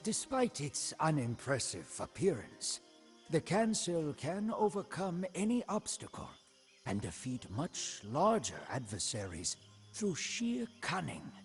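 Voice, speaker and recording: Devoted royal commander, game storybook narration, solemn, very varied intonation, unhurried delivery, music behind. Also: male voice, a little high, sing-song. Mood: proud, solemn. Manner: solemn, dramatic, deliberate. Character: proud, devoted male commander of a royal guard. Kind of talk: scripted storybook narration in character.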